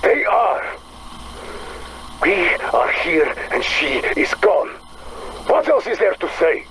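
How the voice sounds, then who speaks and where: Casting: brooding Russian man, fairly high voice with big swings, confrontational dramatic game acting. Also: big pitch swings, slow pace, fairly bright tone, a little hiss or hum, heavily acted, poor audio. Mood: bitter. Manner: intense, curt, confrontational.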